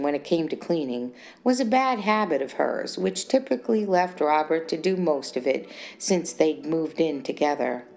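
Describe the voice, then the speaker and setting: A woman's voice: fairly low pitch, a little mellow. A woman reading her own short story as scripted audiobook narration. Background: music.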